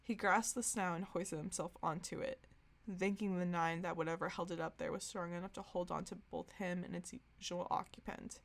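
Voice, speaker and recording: A young woman with a fairly low voice and plenty of vocal fry. She co-hosts a podcast, reading aloud and talking in a loose, informal, amused manner. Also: steady tone, a little fast. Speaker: podcast co-host. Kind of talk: casual reading aloud of fan fiction and chat.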